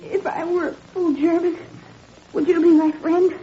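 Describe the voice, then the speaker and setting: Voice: very high-pitched, level tone, unhurried, mellow. A performer playing a frightened, fragile young boy in a 1940s radio drama. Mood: troubled. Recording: muffled, hissy 1940s radio recording.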